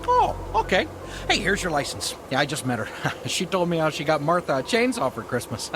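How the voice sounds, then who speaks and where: A man's voice: a little high, huge pitch swings, fairly quick. An actor playing a chatty male police officer at a night traffic stop. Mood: folksy, joking. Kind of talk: acted audio drama dialogue. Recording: noisy outdoor roadside sound.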